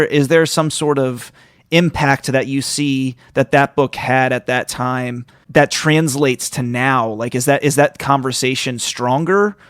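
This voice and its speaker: A man in his thirties hosts a relaxed book podcast in a dry, close space. His voice sits at an ordinary pitch. He rambles, circling and restarting as he works toward each question. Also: dry recording. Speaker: podcast host, interviewer. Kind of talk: casual literary interview.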